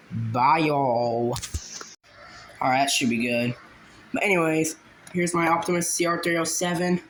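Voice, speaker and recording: A man giving a casual, halting show-and-tell, informal and often trailing off. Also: on the high side, a bit bright. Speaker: hobbyist video creator. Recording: noisy home video with clicks.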